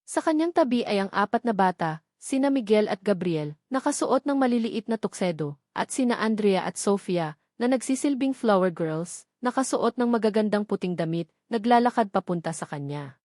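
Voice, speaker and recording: A woman reads a scripted, sentimental love story as its narrator. Her voice sits at a middle pitch for a woman. The sound is dry, with hardly any room around it. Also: expressive, normal speed, darkish tone, dry recording.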